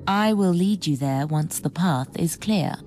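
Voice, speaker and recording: An actress playing an aloof, condescending alien seer who speaks solemnly in riddles in a video game. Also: female voice, lowish voice, measured pace, darkish tone, soft background music, natural voice. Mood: cryptic, aloof.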